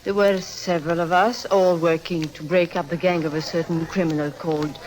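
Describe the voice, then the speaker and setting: A woman's voice: on the low side, steady tone, normal speed, darkish tone, natural voice, low-quality recording. An actress playing a genteel, coy and shrewd baroness in a 1950s radio drama, refined and hesitant in her phrasing. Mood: coy, prim.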